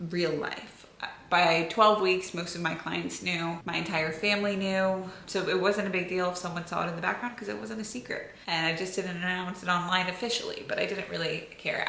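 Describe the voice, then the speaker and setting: A woman's voice: fairly low pitch, creaky, sharp tone, slight background noise. A young American woman chatting casually to camera, answering viewer questions in an open, filler-heavy way. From United States. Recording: echoey room.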